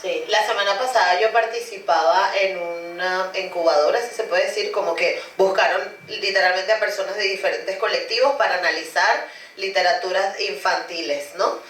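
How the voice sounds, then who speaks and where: A woman in her thirties, from Venezuela, hosting a relaxed talk at a middle pitch. She reflects at length and keeps tagging her points with questions. The sound is roomy, and she sits some way from the microphone. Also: moderate pace, a little hiss or hum. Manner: talks in long, reflective runs with many "¿no?" tags. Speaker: podcast host. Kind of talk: casual conversation. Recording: roomy sound from a distant mic.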